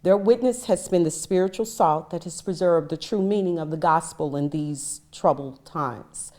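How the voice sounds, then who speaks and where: A woman's voice: fairly low pitch, moderate pace, dark tone. A woman reading a historic letter aloud as one of a group of readers.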